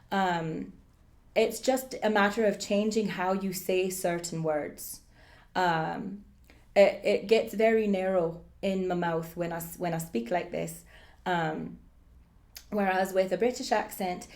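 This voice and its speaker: A woman with a slightly low voice speaks in a put-on Irish accent. She coaches informally, demonstrating and explaining how the accent works, often halting to think aloud. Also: a little mellow. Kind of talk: informal spoken lesson on accents.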